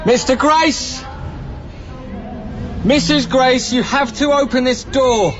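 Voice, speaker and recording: High-pitched, harried council officer. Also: male voice, slow pace, fairly bright tone, dull audio, soft background music, exaggerated voice. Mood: insistent, calling out. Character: harried male council officer, insistent and flustered. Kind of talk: radio drama acting.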